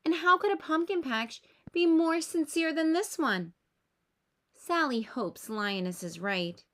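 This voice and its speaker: A woman narrates a storybook for young children. Her voice sits high and swings widely in pitch. She reads expressively and takes her time over each sentence. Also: measured pace. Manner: expressive, with rhetorical questions to young listeners. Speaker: storybook reader. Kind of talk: children's read-aloud narration.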